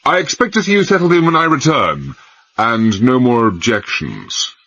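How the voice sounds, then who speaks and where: An actor playing a suave, domineering villain with false geniality, hearty and condescending, in a slightly dull recording. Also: male voice, mid-range voice, very animated, moderate pace, sharp tone, heavily acted. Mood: commanding.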